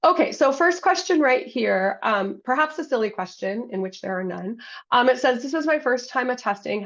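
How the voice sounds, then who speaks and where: A woman with a cold, hoarse, moderating a webinar and leading the questions, with plenty of ums. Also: mid-range voice, highs cut off. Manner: frequent ums, conversational and apologetic. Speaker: webinar moderator for a state health program. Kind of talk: hosting a webinar Q&A.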